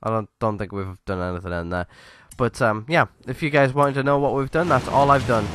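A man's voice: medium pitch, brisk. A man commentating on a racing game casually, a bit nasal from a cold, rambling with lots of ums. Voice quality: slightly nasal from a lingering cold. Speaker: gaming YouTuber. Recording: game audio noise behind. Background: racing game sounds.

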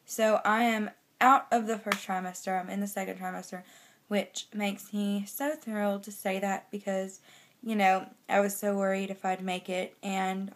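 A young woman with a slightly raspy voice from a cold, chatting casually in a personal vlog into a phone held at arm's length indoors. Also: mid-range voice. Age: young adult. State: has a cold. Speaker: pregnancy vlogger giving a weekly update. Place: small bedroom indoors.

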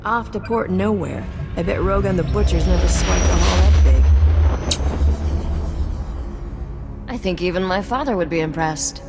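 A woman's voice: fairly low pitch, brisk, gravelly, loud music behind the voice. A woman playing a cocky, sarcastic smuggler in a video game, with a wry, sassy delivery.

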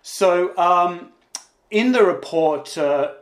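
Male interviewer, forties, slightly high voice, deliberate and hesitant, recorded with clear room echo. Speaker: interviewer, host. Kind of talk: conversational interview. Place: indoors, on video call. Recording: roomy, echoing video call.

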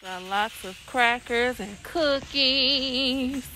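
A woman's voice: high voice, very slow, music in the background, rough recording. A woman vlogging on her phone as she walks through a big warehouse store, giving a chatty running commentary and repeating items as she spots them. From California, USA. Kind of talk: casual shopping walkthrough vlog. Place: in a car, then inside a large warehouse store. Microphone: phone camera held at arm's length. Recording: phone audio with loud store background noise.

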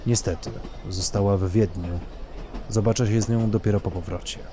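An actor playing a courtly, boastful married gentleman in an audio drama, speaking in a gallant, formal and measured way as a charming flirt. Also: on the low side, steady tone, slight background noise, lightly acted. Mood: polite, reserved. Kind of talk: Polish audio drama dialogue.

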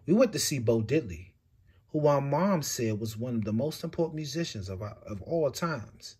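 A man reading a picture book aloud with expressive, swinging delivery. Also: normal speed, husky. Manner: expressive storytelling with big swings in pitch and loudness.

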